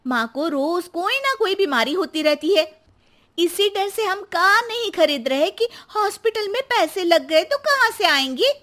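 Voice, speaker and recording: Sharp-tongued young wife played by a woman, shrill-high and unhurried, complaining through a moral cartoon tale. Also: sing-song, exaggerated voice. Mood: irritated, complaining. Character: sharp-tongued, complaining young daughter-in-law in a family quarrel. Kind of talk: dramatised Hindi moral cartoon story.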